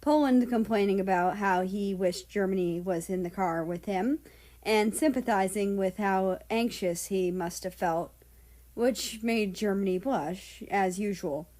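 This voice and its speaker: A woman reading a story aloud as an audiobook narrator, in expressive scripted narration. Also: mid-range voice, measured pace.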